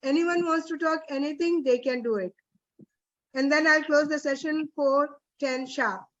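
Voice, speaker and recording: Indian female workshop trainer, high voice, motivational and emphatic, muffled online-call sound. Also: steady tone, moderate pace. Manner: encouraging, emphatic with repeated phrases. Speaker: guest trainer leading an online teacher workshop. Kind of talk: informal motivational closing talk to trainees. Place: online video session. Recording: dull, lo-fi online call audio.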